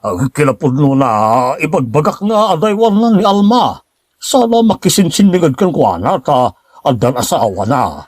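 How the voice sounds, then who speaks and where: A husky older Filipino man with a very lively pitch, played by a male actor. He is a sly secret lover in an audio drama, hesitant and evasive, tripping over his words. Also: moderate pace, dry recording. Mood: nervous, evasive.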